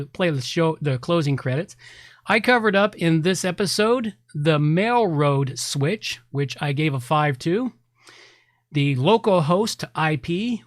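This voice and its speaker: A man's voice: high voice, a bit bright, no room sound, clean studio sound. A man hosting a podcast, talking casually and informally in a rambling way as he gives announcements. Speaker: podcast host.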